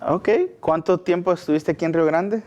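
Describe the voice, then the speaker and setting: A man's voice: on the high side, steady tone, a little mellow. Playful male podcast co-host. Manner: jokey, playful, quick to laugh. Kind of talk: casual podcast chat and prayer.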